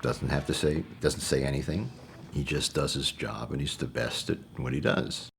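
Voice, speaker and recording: A man talking casually and thoughtfully in a documentary interview, with halting delivery. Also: extremely low pitch, creaky.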